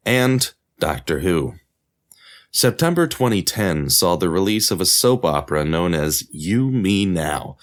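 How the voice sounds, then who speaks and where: A man narrating a scripted documentary podcast as its host. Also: deep voice.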